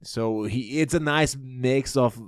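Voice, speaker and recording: Male podcast guest, Brazilian accent, Portuguese native, clear low tone, dry close sound, relaxed conversational style. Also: takes it slowly, no room sound. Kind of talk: casual group chat.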